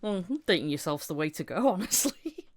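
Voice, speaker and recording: A woman hosting a casual interview podcast, chatty and giggly, with a halting way of putting her questions. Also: lowish voice, very animated, noisy background.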